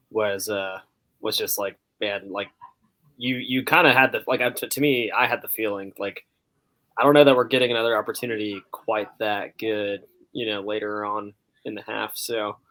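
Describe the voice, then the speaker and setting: A man's voice: on the low side, a bit bright, poor audio. A man co-hosting a sports podcast in a casual, conversational style.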